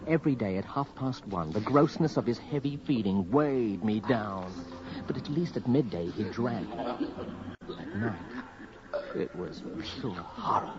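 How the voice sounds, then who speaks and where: A man's voice: on the low side, animated, unhurried, a loud crowd. An actor playing a wretched, haunted young man in a radio horror drama, with an anguished, faltering delivery. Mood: haunted, despairing. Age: young adult. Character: tormented young man, haunted and wretched, narrating his downfall. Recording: dull, lo-fi vintage radio recording.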